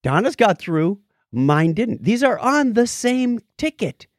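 Male podcast co-host, lively pitch swings, wry and casual, dry close sound. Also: slow pace, no room sound. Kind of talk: casual banter, reading listener messages aloud.

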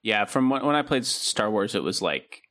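A man's voice: lowish voice, normal speed. A young man co-hosting a podcast, chatting casually and explaining things loosely with lots of "um" and "uh". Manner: relaxed, explains with many fillers. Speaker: podcast co-host. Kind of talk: casual chat.

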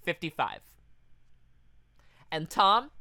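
A man's voice: sits high, sing-song, extremely slow, sharp tone, smooth, no echo. A man co-hosting a podcast in casual comedic chat, profane and riffing as he goes. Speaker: podcast co-host.

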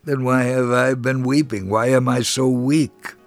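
A man's voice: medium pitch, fairly even intonation, measured pace, faint music behind, natural voice. A man voicing a poor, despairing alcoholic father in a narrated devotional story, burdened and confessional. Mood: bewildered.

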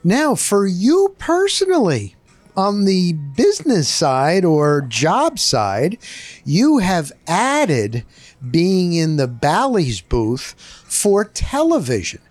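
Man, interviewer, casual questioning, very animated intonation, unhurried slow pace, dry close sound. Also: high-pitched, no echo. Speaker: interviewer, host.

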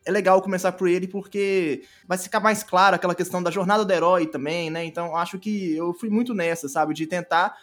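A Brazilian man speaking as a podcast guest, explaining casually in a halting way, repeating words and often checking for agreement. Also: high-pitched, fairly even intonation, fairly bright tone, faint music behind. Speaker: podcast guest, audio drama creator.

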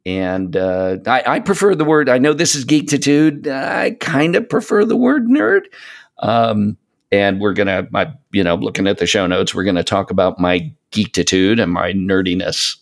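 A 57-year-old man chatting casually as a podcast guest, speaking in a halting way with rambling asides. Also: fairly low pitch, animated, vocal fry. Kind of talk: casual interview chat.

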